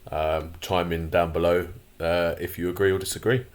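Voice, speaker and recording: Vlog host, male, deep and croaky, rambly and opinionated. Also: flat delivery, normal speed. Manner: rambling, many fillers and restarts; opinionated, grumbling asides. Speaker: channel host. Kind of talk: casual commentary vlog.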